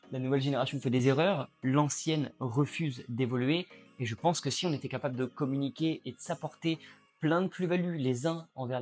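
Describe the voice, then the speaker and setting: Man, late twenties, YouTube explainer, mid-pitched and reflective, faint music bed. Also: darkish tone. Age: late 20s. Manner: reflective, addresses viewer directly. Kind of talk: casual explainer at a whiteboard.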